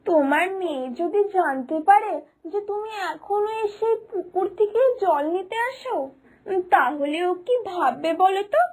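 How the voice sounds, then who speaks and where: A woman's voice: extremely high pitch, lively intonation, measured pace, darkish tone. A woman voicing Lata-di, a nosy village woman who scolds and nags her neighbour in a cartoon. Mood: scolding. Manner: scolding, nagging. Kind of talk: cartoon voice acting.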